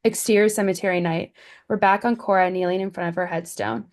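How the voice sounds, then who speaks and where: A woman reading stage directions as scripted narration, with a creaky voice. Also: mid-range voice, steady tone, fairly quick.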